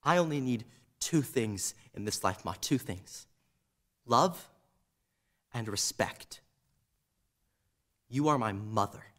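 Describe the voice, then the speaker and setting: A high-voiced young man, as acted by a student actor, playing a grieving and defiant son in a stage monologue. His delivery swings widely in pitch as he confronts his mother. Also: big pitch swings, a little slow, fairly bright tone, exaggerated voice. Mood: quiet, firm. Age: teens to young adult.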